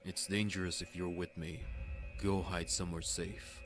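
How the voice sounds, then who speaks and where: A performer voicing a calm, protective male rescuer with a terse, grave delivery, under loud music. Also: low voice, moderate pace, natural voice. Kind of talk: amateur dramatic voice acting demo.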